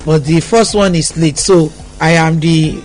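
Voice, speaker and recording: A woman's voice: sits low, fairly even intonation, measured pace, darkish tone, faint music behind. A middle-aged woman with a Nigerian accent telling personal stories as a radio interview guest, with an emphatic manner. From Lagos, Nigeria.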